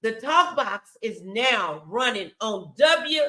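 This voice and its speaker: Lady host doing casual faith motivation talk, real bright voice, emphatic, talks right to viewers. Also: fairly high pitch, big pitch swings, slow. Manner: emphatic, encouraging, addresses viewers directly.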